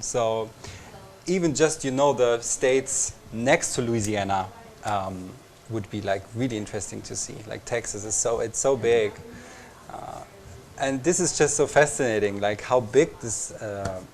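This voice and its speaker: A young man from Austria with an Austrian accent, a native German speaker, chatting as a guest in halting English full of fillers. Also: fairly low pitch. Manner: halting, searching for words, many fillers. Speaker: visiting reporter, guest. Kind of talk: casual interview. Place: tv station room.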